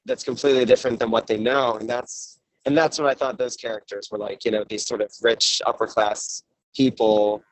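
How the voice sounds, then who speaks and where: A man with a medium-pitched voice talks casually on a panel. His phrasing is hesitant and full of fillers, and the sound is close and dry. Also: no echo, low-quality recording. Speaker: panelist discussing a production.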